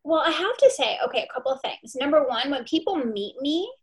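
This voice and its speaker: A woman's voice: sits high, lively intonation, brisk. A woman in her forties, a therapist and nutritionist, talking energetically and emphatically as a podcast guest. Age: 40s. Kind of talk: podcast interview.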